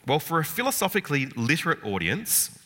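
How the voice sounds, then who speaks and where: Animated, sharp-toned man teaching in a hall. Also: a little high, a little fast, breathy edge. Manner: thoughtful, frequent "uh" fillers and restarts, rhetorical questions. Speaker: Bible teacher. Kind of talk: informal Bible lecture. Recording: long hall reverb.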